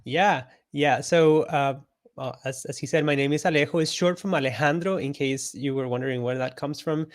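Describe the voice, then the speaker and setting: A man's voice: fairly high pitch, normal speed, no room sound, studio quality. A man from Costa Rica, a guest on an interview show, telling his story in a friendly, reflective way and laughing often. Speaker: guest, illustrator and artist coach.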